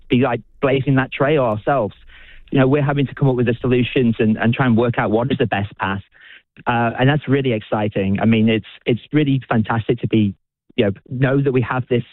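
A British physicist being interviewed on the radio, speaking with enthusiasm, halting often and restarting his sentences. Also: mid-range voice, no room sound. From United Kingdom. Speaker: physicist and researcher. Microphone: phone. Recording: dry tinny phone line.

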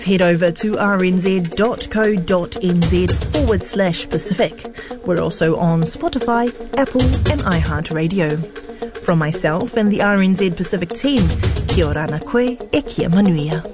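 A female radio host gives a friendly show sign-off in a low voice. A clear music bed plays underneath her. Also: expressive, measured pace.

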